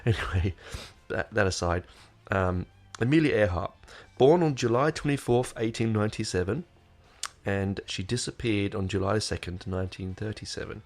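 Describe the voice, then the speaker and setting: A man's voice: on the low side, normal speed, a little mellow. A man hosting his podcast in casual, rambling talk, full of fillers and restarts, sounding nasal while getting over an illness. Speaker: podcast host. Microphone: desk microphone.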